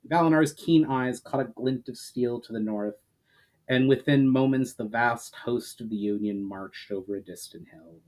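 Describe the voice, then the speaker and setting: Male narrator for epic fantasy audiobook, medium-pitched, dramatic delivery with the odd stumble. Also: darkish tone. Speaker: author and narrator reading his fantasy novel aloud.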